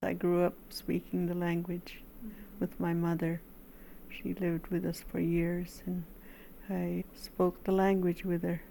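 Slow gravelly older woman. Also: on the low side, monotone, dark tone, a little hiss or hum, poor audio. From California. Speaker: interviewee, language teacher. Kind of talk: casual recollection.

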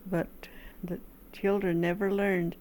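Older woman, low, gravelly, unhurried and even. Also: slight background noise, low-quality recording. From California. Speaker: interviewee, language teacher. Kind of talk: casual recollection.

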